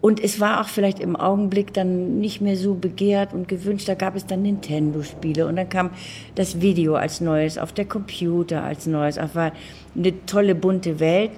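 A woman's voice: lowish voice, fairly even intonation, moderate pace, dark tone, a little hiss or hum. An older woman, reminiscing conversationally as an interviewed producer, emphatic and repeating words for stress. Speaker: interviewee, audio drama producer.

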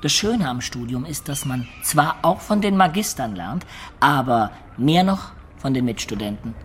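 A male actor plays an adventurous medieval storyteller in a radio drama. He recounts his younger days in ornate, wry phrases, with a medium-pitched voice. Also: animated, moderate pace, slight background noise, natural voice. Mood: earnest, explaining. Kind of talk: literary radio drama narration. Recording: studio radio drama with music beds.

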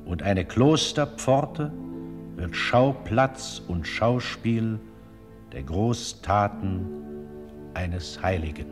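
A man's voice: fairly low pitch, extremely slow. A man giving solemn, reverent poetic narration in a declamatory manner, as in a radio play. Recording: long reverb over music.

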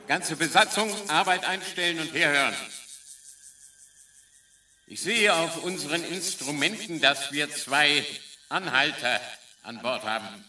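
Echoing, distant loudspeaker; sharp, forceful alien commander, officious and menacing, radio drama. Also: male voice, a little high, lively intonation, full character voice. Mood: stern, threatening. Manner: slow, emphatic, domineering.

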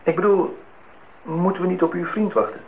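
A man's voice: high-pitched, steady tone, normal speed, close to an everyday voice. An actor playing a courting bachelor department head in his thirties in a radio play, formal, teasing and a little hesitant, in intimate dialogue. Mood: eager, courteous. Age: 30s. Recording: tinny, noisy old radio play recording.

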